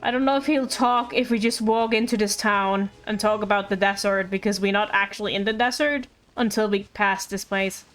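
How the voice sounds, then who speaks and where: A woman's voice: mid-range voice, steady tone, normal speed, few pauses, a bit bright. A woman doing casual live commentary on a game, chatty, playful and rambling. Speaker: game livestreamer. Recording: livestream with game music behind. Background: game music.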